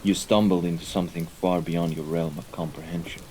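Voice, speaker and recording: Guy doing a mob boss's right hand, deep voice, formal n measured, quietly scary, audio roleplay with rain in the bg. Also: fairly even intonation, slight background noise, lightly acted. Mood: coolly menacing. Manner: formal, measured, deliberate wording. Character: menacing, composed mafia right-hand man, formal and dutiful. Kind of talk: scripted audio roleplay acting. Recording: rain and ambience behind the voice.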